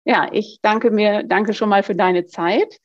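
A woman's voice: medium pitch, steady tone, unhurried, no room sound. Chatty woman hosting, dull dry sound. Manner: loose, self-correcting, chatty. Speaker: podcast host and supervisor. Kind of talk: podcast interview.

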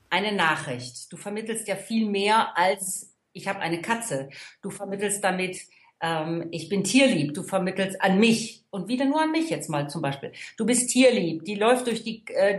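A woman from the Rhineland, a trainer and coach, explaining her views emphatically and checking in with the listener. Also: medium pitch. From Rhineland, Germany. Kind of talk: conversational expert talk. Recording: echoey room.

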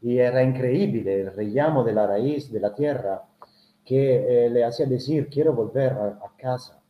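A man's voice: medium pitch, moderate pace. Middle-aged Italian-accented man, Italian native, hesitant storyteller, roomy and muffled recording. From Italy. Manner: hesitant, reflective, many filler sounds. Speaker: guest. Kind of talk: personal storytelling in an interview.

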